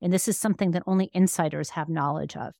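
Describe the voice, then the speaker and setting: A woman's voice: on the low side, steady tone, fast talker, gravelly, dark tone, dry recording, clean studio sound. A woman speaking as an expert podcast guest, explaining conversationally and emphatically, often rephrasing as she goes. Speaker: interview guest, law professor and author.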